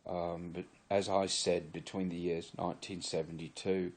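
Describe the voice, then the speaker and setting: A man's voice: low voice, level tone, normal speed. A man in his sixties from Virginia, speaking in a put-on English accent, gives a rambling, earnest and wry solo testimony. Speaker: former member giving testimony. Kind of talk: solo confessional monologue to camera.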